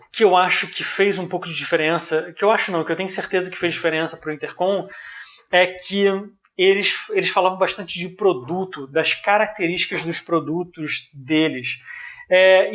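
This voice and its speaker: A man's voice: sits high, a bit bright. A man with a Brazilian accent giving an informal business explanation in a didactic, conversational way, often checking in with the listener. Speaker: presenter of a business analysis video.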